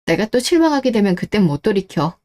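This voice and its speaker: A woman's voice: medium pitch, very animated, brisk, darkish tone, close to an everyday voice. A woman playing a betrayed, cool-headed wife who questions and reproaches in radio-drama dialogue. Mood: stern, unforgiving. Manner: cold, interrogating, reproachful.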